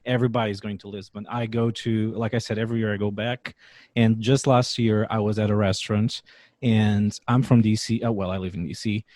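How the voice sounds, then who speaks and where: A man's voice: fairly low pitch, level tone, darkish tone, no echo, studio quality. A man hosting a podcast interview, friendly and joking. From Portugal. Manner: friendly, joking, quick talker. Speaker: podcast host. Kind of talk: casual interview.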